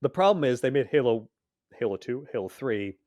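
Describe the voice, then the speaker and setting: A man's voice: medium pitch, very animated, a little fast, a little mellow, no room sound, studio quality. A man co-hosting a podcast, chatting casually and jokingly about geek culture. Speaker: podcast co-host.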